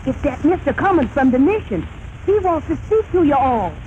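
An eager, deferential maid, very high in pitch, speaking folksy dialect. It is old radio comedy acting on a dull, faintly noisy 1930s recording. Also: female voice, big pitch swings, moderate pace, darkish tone. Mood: eager, obliging.

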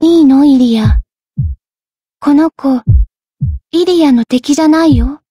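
Slow, high, blank young maid. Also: female voice, mellow, no echo, studio quality, faint music behind. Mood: mildly questioning. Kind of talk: anime visual novel voice acting.

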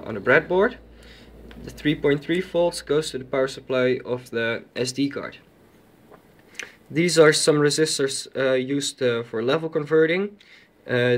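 Man giving a halting informal demo. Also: mid-range voice. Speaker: electronics hobbyist. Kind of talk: informal project demonstration. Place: indoors at a desk with electronics.

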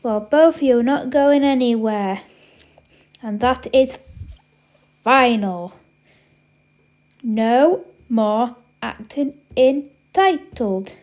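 A woman's voice: on the high side, a little slow, darkish tone. A woman playing a bossy big sister in a children's skit, stern and exasperated as she scolds. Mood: stern, weary.

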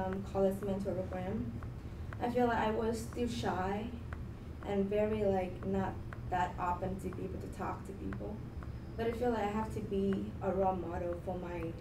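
A young woman from Vietnam, a Vietnamese native speaker, sharing her story casually in a group with many pauses and ums. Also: medium pitch. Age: young adult. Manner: hesitant, many ums and restarts. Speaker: student. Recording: roomy and distant with background noise.

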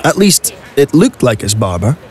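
A man's voice: medium pitch, sing-song, normal speed, a loud crowd. A man narrating a scripted audio play as a dramatic storyteller. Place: dry recording studio.

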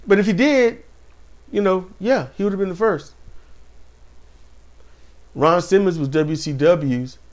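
Animated man chatting about wrestling. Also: high voice, a little slow, long pauses. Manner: animated, laughs often, addresses viewers as y'all. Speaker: podcast co-host. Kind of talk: casual chat about wrestling.

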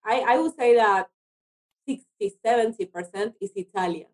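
A woman's voice: medium pitch, normal speed. A woman from Argentina, a native Spanish speaker with an Argentine accent, chatting casually as an interview guest. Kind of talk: casual chat.

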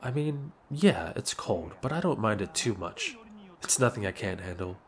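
A man's voice: lowish voice, sing-song, normal speed. A young man playing a shy, awkward, apologetic neighbor in an ASMR roleplay, speaking hesitantly. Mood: sheepish, apologetic.

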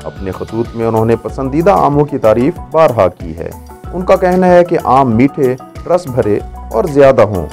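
A man delivers scripted narration as a narrator would. His pitch moves animatedly up and down around a middling register, and music plays behind him. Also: expressive, moderate pace, a little mellow. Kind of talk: scripted narration with recited Urdu poetry.

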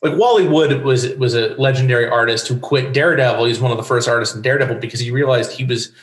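A man's voice: mid-range voice, fast. Middle-aged man explaining in an echoey room. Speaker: guest, comics writer. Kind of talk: explanatory interview talk. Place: home room with bookshelves, indoors. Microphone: desk microphone on video call. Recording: echoey room over video call.

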